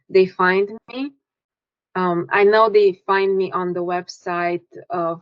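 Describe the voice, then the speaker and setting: Romanian woman, relaxed, dull call audio. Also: fairly low pitch, no room sound. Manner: relaxed, restarts and self-corrections. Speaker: author and energy healer guest. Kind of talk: conversational interview.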